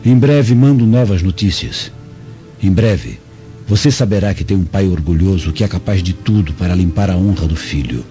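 Actor as a deep, dark, vengeful father. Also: muffled recording, soft background music, natural voice. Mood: vengeful resolve. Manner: solemn, measured, reading out a letter. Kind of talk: radio drama monologue.

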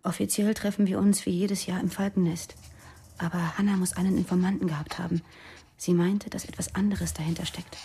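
Uneasy young militant woman, mellow voice. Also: on the low side, level tone, a little fast, breathy edge. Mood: uneasy, confiding. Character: uneasy young female militant confiding secrets, wanting out. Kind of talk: German radio drama dialogue.

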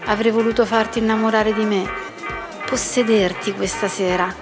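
A woman's voice: mid-range voice, steady tone, normal speed, lightly acted. An actress playing a weary, wistful, lovelorn female peacemaker in a reflective radio drama monologue. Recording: music with guitar behind the voice.

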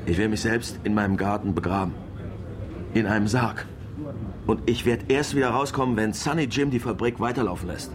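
An actor playing a stubborn, down-to-earth middle-aged factory worker in a naturalistic radio drama. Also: male voice, on the low side, brisk, noisy background, lightly acted. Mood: earnest, determined.

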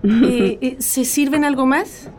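An actress playing a courteous, tentative waitress in a radio drama. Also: female voice, sits high, steady tone, slow pace, a crowd in the background. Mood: polite, puzzled. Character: polite, puzzled Chilean waitress.

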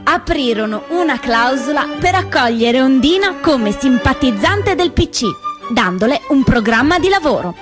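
A woman's voice: high-pitched, animated, moderate pace, over music. A woman stage narrator telling a scripted story in an emphatic, declamatory, theatrical way.

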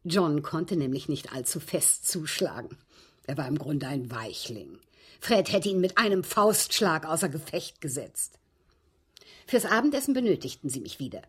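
An actress playing a genteel, aggrieved widow in a German radio drama, speaking in precise, formal, dramatic phrases with a husky voice. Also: female voice, low voice, very animated, moderate pace, heavily acted. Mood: bitter, composed. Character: genteel, aggrieved widow recounting her captivity.

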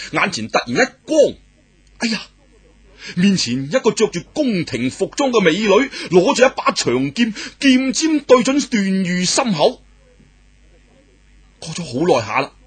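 A man telling a martial arts tale in traditional storytelling style, animated and exclamatory with dramatic pauses. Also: on the high side, breathy edge.

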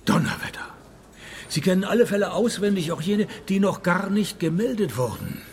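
An actor playing a sardonic, world-weary private detective in a radio play, with a gravelly voice and dry, deadpan delivery. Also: male voice, sits high, moderate pace, slight background noise. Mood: mocking. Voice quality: gravelly, creaky. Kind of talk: detective radio play acting and first-person narration.

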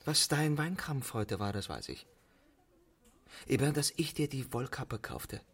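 An actor playing a jaded 1920s con man in a German radio play, brooding and halting, in broken phrases. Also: medium pitch, lively intonation, a bit bright, breathy edge, exaggerated voice. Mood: weary, confessing.

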